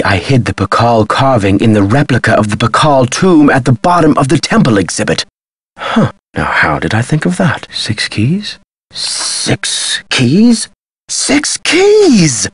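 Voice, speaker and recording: An actor playing an eccentric, agitated scholar with memory loss in a video game, speaking theatrically with a husky voice. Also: male voice, lowish voice, dry recording, full character voice. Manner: theatrical, emphatic, swinging delivery. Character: eccentric, agitated male amnesiac Maya scholar.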